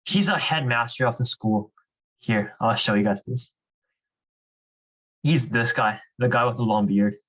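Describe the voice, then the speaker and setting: Man, medium pitch, informal online teaching, encouraging club leader. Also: measured pace, long pauses, a bit bright, low-quality recording. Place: online group video call.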